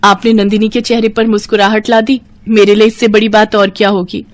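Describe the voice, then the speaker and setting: Humble poor working mother for a moral tale, gentle, worried, deferential played by a woman. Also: mid-range voice, level tone, fairly quick, close to an everyday voice.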